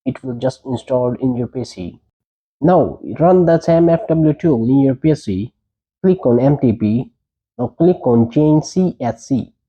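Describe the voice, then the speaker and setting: A man's voice: mid-range voice, animated, a little slow, dark tone, breathy edge, low-quality recording. A man presenting a tech tutorial, giving short, plain step-by-step instructions.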